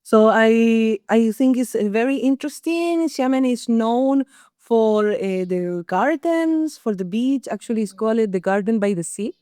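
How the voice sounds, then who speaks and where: A woman's voice: a little high, lively intonation, a little slow, warm tone, clear, resonant tone, dry recording, studio quality. A middle-aged woman from Chile with a Chilean accent, a native Spanish speaker, chatting casually as a podcast co-host. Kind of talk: casual conversational podcast chat.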